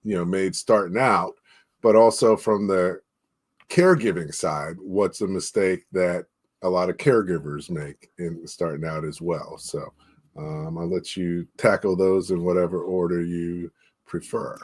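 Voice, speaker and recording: A man's voice: low voice, unhurried. A man hosting an online interview, affirming the guest and putting questions to her with easy fillers. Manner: relaxed, affirming, many fillers. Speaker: interview host.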